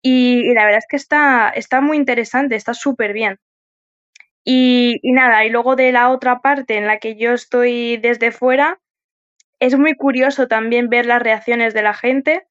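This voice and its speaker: A woman's voice: fairly high pitch, fairly even intonation, unhurried, a bit bright, clear, resonant tone, lo-fi sound. A woman with a Spain accent chatting casually as a podcast guest, telling her experience in an informal, rambling way. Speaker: podcast guest and event helper. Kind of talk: casual chat.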